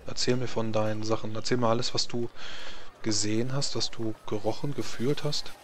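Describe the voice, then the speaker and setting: A man's voice: lowish voice, fairly even intonation, brisk, a little hiss or hum, close to an everyday voice. Man voicing a patient, soothing temple priestess. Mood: gentle consoling. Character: gentle, consoling priestess of a death god.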